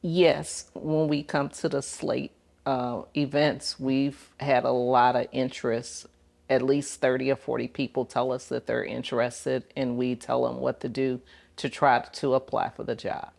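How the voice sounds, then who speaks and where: A woman with pronounced vocal fry speaks as an interview guest from police dispatch. Her answers are plain, conversational and matter-of-fact. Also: rumbling low, level tone, unhurried, a little mellow.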